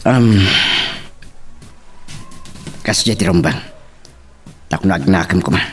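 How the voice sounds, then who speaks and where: A man's voice: deep voice, lively intonation, takes it slowly, soft background music. Young Ilocano man, slightly distant acted. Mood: troubled. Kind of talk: Ilocano romance radio drama acting.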